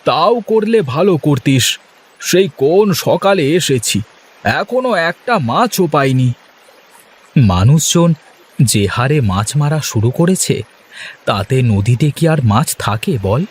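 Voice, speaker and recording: A man playing a poor, grumbling but good-humoured fisherman in a cartoon fairy tale, colloquial and expressive. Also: fairly high pitch, unhurried, husky.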